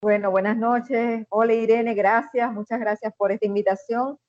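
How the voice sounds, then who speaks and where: A middle-aged woman with a Venezuelan accent, a guest in an interview, telling a personal story in an earnest, measured way with many "este" fillers. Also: fairly high pitch, fairly even intonation, darkish tone, dry recording, muffled recording. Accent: Venezuelan Spanish.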